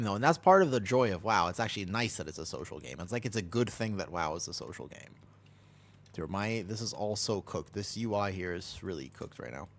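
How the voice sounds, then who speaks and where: A rambling American streamer with a slightly low, relaxed voice. He talks casually to chat while playing, drifting from topic to topic and laughing easily. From United States.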